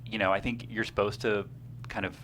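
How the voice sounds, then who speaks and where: A man with a very low voice chats about his script on a panel. He talks in a laid-back way, letting phrases trail off. A clear hum and hiss run under the recording. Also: flat delivery, brisk. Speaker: screenwriter guest. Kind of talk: casual panel discussion.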